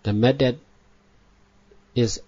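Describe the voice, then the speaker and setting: A man guiding a meditation as a Buddhist teacher, speaking in deliberate short phrases with long pauses. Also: medium pitch, fairly even intonation, warm tone. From Myanmar. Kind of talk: guided meditation instruction. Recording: dull lo-fi recording.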